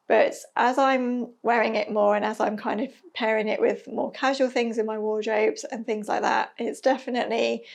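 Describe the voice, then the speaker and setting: A woman chatting casually about her sewing projects, a little hoarse from losing her voice, with a self-deprecating manner and frequent ums. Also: fairly high pitch. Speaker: sewing vlogger.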